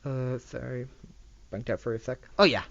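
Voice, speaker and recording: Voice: mid-range voice, creaky, fairly bright tone, no echo. An amateur reader with a lisp reading a story aloud, stumbling over words and correcting as they go. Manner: halting, often misreading and correcting words. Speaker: amateur reader of fan fiction. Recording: dull lo-fi microphone recording.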